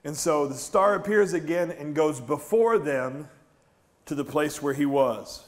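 Higher-pitched American pastor preaching. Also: male voice, unhurried. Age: middle-aged. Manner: animated storytelling with questions to the congregation. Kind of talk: conversational church sermon. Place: large church stage, indoors.

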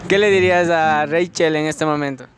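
A man's voice: fairly high pitch, fairly even intonation, normal speed, crowd noise. Cheeky Ecuadorian young man on the street. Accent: Ecuadorian Spanish. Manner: cheeky, teasing questions. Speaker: street interviewer. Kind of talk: casual street interview. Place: outdoor city street. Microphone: phone held out as a mic. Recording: music playing over the street sound.

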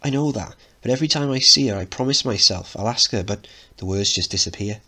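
A man's voice: lowish voice, a little fast, bright, forceful tone. A man voicing an awkward, lovesick working-class teenage boy, blurting and self-doubting, in a dramatic play reading. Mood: frustrated.

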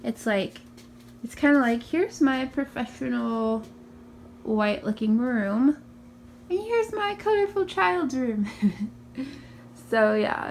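A young woman with a raspy morning voice, chatting casually through a tour of her small bedroom, filmed on a handheld phone. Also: high-pitched, a little mellow, a little hiss or hum. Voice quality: raspy from just waking up. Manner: chatty, upbeat, many ums and likes. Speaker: vlogger. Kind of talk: casual room tour vlog. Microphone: handheld phone camera.

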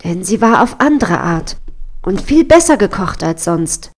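A woman's voice: on the low side, very animated, unhurried. A woman voicing a commanding, questioning young male king in a fairy tale reading. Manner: direct, authoritative.

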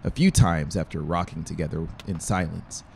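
A man's voice: extremely low pitch, fairly even intonation, normal speed, gravelly, a little hiss or hum. A man in his thirties reading scripted fiction as an audiobook narrator, close on the mic in a small room. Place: small brick-walled room, indoors. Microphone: close dynamic mic on boom stand. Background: faint music.

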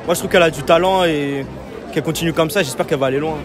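A man's voice: a little high, very fast, crowd noise. A young man answering a street interview in slangy, emphatic speech with many fillers. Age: young adult. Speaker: passer-by. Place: busy shopping street outdoors. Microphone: interviewer's phone held close. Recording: street music behind.

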